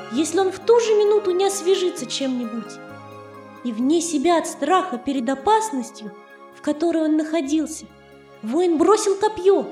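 A woman reads a children's tale as narrator, measured and expressive. Her voice is high and soft-toned, with an echo around it and faint music underneath. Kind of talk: scripted children's story narration. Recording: echoey studio, faint music behind.

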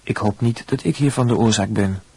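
A man's voice: lowish voice, brisk, mellow, husky, dry recording. A man acting a stiffly courteous young medical student, earnestly reading letters in a Dutch radio play. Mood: formal, earnest. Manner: formal, earnest.

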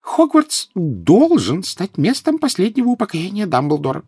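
A squeaky little wizard professor, played by a male narrator. He speaks far up in pitch with earnest, swooping delivery, as a storybook character. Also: huge pitch swings, normal speed, a little mellow, husky, dry recording, clean studio sound, full character voice. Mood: earnest, solemn.

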